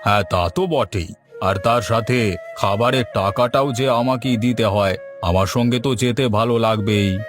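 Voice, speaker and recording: A man's voice: lowish voice, moderate pace, close to an everyday voice. A man voicing a long-suffering village landlord and husband in a cartoon, wry and protesting. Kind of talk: cartoon dub. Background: music.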